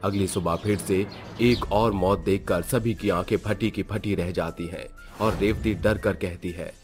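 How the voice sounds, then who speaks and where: A man's voice: low voice, fairly even intonation, unhurried, faint music behind. A man narrating a scripted horror story as its storyteller.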